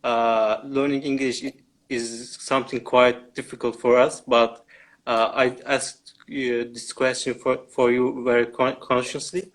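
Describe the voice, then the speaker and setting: A man with a Turkish accent hosts the interview in English. His English is non-native and broken, with frequent restarts and stumbles. His voice has a medium pitch. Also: level tone, measured pace. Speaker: host. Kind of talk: casual interview.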